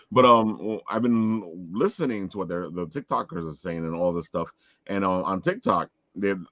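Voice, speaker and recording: A man's voice: deep voice, fairly bright tone. A man hosting a casual live panel chat over an online call. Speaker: podcast host. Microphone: online video call. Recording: roomy echo, dull lo-fi sound.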